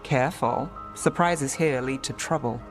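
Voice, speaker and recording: A woman's voice: a bass voice, a little slow. A woman playing a guarded, world-weary city investigator in a video game, speaking in a dry, understated way. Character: wry, guarded female city private investigator. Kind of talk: dramatic video game dialogue. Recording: music behind the dialogue. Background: game music.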